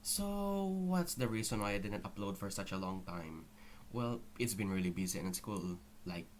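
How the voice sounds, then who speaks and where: A man's voice: on the low side, a little hiss or hum. A young man with a Filipino accent giving a candid, apologetic personal update to viewers, recorded straight on an unprocessed microphone. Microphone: raw microphone with no processing.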